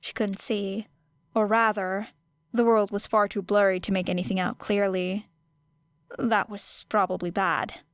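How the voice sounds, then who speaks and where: Female audiobook narrator, mid-range, deliberate and expressive dramatic storytelling, recorded dry. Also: mid-range voice, clean tone, no echo. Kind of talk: dramatic scripted fiction narration.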